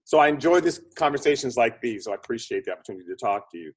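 A man's voice: mid-range voice, animated, rapid-fire, breathy edge. A middle-aged or older man giving casual interview answers as a guest, chatty and explanatory, thinking aloud as he goes. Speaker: podcast guest, film producer and script consultant.

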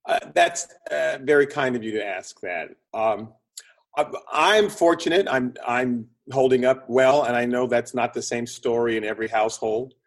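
A man's voice: medium pitch, normal speed. A middle-aged or older man giving casual interview answers as a guest, chatty and explanatory, thinking aloud as he goes. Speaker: podcast guest, film producer and script consultant.

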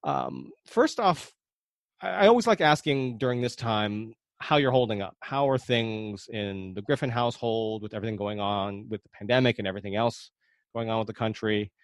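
A man's voice: on the low side, moderate pace, no echo. A man hosting a podcast and interviewing a guest in a casual, conversational way.

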